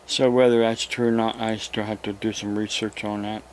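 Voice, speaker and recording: An American man hosting an informal, unscripted hobby vlog, talking in a halting, rambling way with long pauses. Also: on the low side, flat delivery, darkish tone, a little hiss or hum. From United States. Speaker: YouTube radio hobby host.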